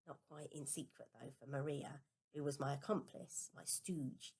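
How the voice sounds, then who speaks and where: A woman's voice: deep voice, fairly even intonation, moderate pace, darkish tone, slightly rough. A woman reading a children's novel aloud as a first-person storybook narration. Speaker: storybook reader.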